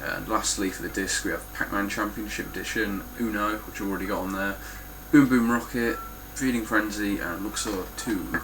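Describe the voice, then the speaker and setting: A man's voice: fairly low pitch, fairly even intonation, moderate pace, few pauses, over music, rough recording. A man with a stuffy, nasal voice giving a casual, blunt spoken review of his game collection, with frequent ums and some swearing.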